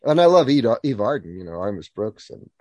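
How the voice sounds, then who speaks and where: A man's voice: lowish voice, big pitch swings, fast, a little mellow, no echo. A male radio host interviewing a guest in a genial way, chuckling often.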